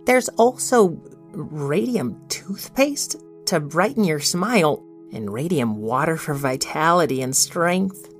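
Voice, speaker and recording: Earnest bossy kid detective girl, audio drama, super animated, swoops up and down. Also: sits low, slow pace, faint music behind. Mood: curious, alert. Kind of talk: children's audio drama acting.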